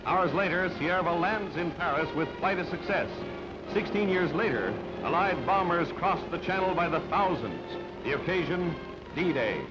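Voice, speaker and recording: A man's voice: high-pitched, expressive, moderate pace. A man reads scripted newsreel narration in clipped, dramatic announcer phrases. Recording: dull band-limited newsreel audio, loud music. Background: loud music.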